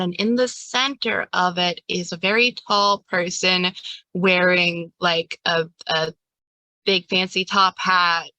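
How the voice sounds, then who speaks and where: A woman's voice: mid-range voice, pushed and bright, no room sound, low-quality recording. A woman running a tabletop game, improvising scenes in a casual, conversational way. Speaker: game master.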